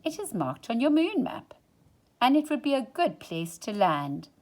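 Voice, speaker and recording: A woman reading a children's story aloud in an expressive way, with dramatic pauses and hushed phrases for effect. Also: fairly high pitch.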